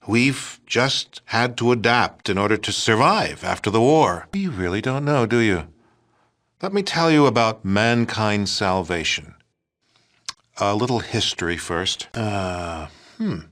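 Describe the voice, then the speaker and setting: Casting: smug, folksy American head of state for a game, middle-aged, self-important and declamatory, sharp forceful tone. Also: male voice, on the low side, expressive, unhurried. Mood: proud, lecturing. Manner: pompous, declamatory, hesitant stammering when cornered. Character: pompous, folksy, smug middle-aged male politician, president. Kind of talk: video game dialogue acting.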